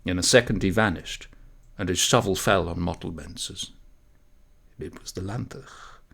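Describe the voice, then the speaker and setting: Man, low-pitched voice, gravelly creak, expressive audiobook storytelling, measured dramatic phrasing. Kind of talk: expressive literary storytelling narration.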